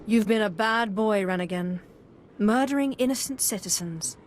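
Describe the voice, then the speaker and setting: An actress playing a cool, wry Sith apprentice in a video game, with a dry, sardonic delivery. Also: female voice, mid-range voice, moderate pace, a little hiss or hum, lightly acted. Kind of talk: dramatic video game acting.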